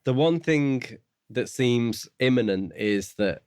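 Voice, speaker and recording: A man's voice: mid-range voice, lively intonation, takes it slowly, darkish tone, clean tone, dry recording, clean studio sound. A man co-hosting a podcast, casually discussing a book and theorising aloud in a tentative, musing way. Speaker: podcast co-host.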